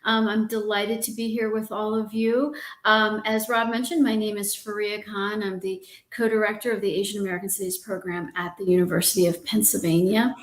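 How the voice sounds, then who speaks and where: Woman, mid pitch, roomy echo, academic panelist, polite, frequent fillers. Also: level tone, brisk, few pauses. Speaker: university professor and panelist. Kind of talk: panel discussion.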